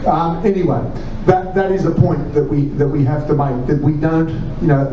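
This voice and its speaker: A middle-aged Australian man answering audience questions at a pub talk, telling lively stories with quick asides. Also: mid-range voice, slight background noise. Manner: animated storyteller, quick asides, restarts. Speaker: chair of a republican movement, guest speaker. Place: pub function room. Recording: distant, muffled, roomy pub recording.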